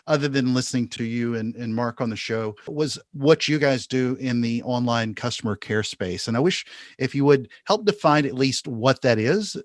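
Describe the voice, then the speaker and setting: A man's voice: medium pitch, no echo. Male podcast host with a close, dry sound. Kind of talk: scripted podcast intro and interview.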